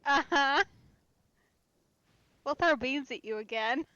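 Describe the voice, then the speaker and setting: Unhurried, higher-voiced woman streaming a game. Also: pushed and bright, dry recording, dull audio. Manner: musing aloud, reacting to the game. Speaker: let's play streamer. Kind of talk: casual live game commentary.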